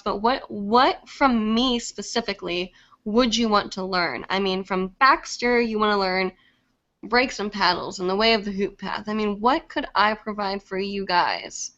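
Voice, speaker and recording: A young woman hosts a casual live stream, reading comments aloud in a chatty way and leaning on lots of fillers. Her voice is a little congested from a lingering cold, and it sits at an ordinary middle pitch. The audio is dull and lo-fi. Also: moderate pace, a bit bright. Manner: chatty, many fillers, laughs easily. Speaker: live show host.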